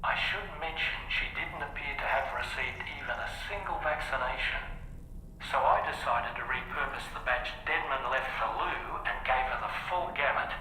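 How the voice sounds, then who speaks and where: A man's voice: mid-range voice, normal speed, bright, forceful tone. An actor playing a reassuring, matter-of-fact male team medic in a video game cutscene, explaining things in full sentences. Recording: very echoey, faint noise, radio-like.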